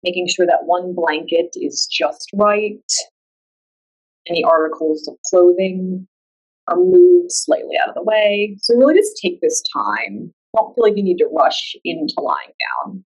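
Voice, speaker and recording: A woman guiding a meditation in a calm, soothing, unhurried way, leaving long pauses between instructions. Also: fairly low pitch, lo-fi sound. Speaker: yoga nidra meditation guide. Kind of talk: guided meditation.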